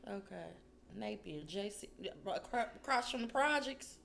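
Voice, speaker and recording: A woman's voice: medium pitch, animated, a little slow, vocal fry, a bit bright, slight background noise. A woman listening as a granddaughter in a casual family chat, giving murmured acknowledgments and short questions.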